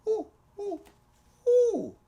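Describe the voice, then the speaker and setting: A storyteller voicing an excitable little monkey with shrieking, hooting ape calls in a bedtime story. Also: very high voice, very slow, creaky, dull audio, a little hiss or hum, voice pushed up, full character voice. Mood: excited hooting.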